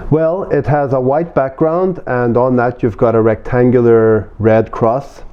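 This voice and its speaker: A man from Northern Ireland with a Northern Irish accent, answering interview questions in a relaxed, explanatory way with some fillers. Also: medium pitch, a little slow, smooth. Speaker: interview guest. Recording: dull lo-fi sound.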